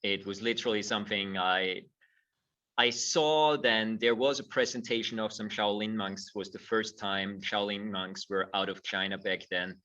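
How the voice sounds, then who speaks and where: A middle-aged man speaks thoughtfully and often restarts his phrases. His voice is low and bright. He sounds far from the mic, and the audio is dull and muffled. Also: steady tone, moderate pace. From Austria. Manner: thoughtful, repeats words when starting phrases. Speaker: guest, movement teacher. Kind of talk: conversational interview.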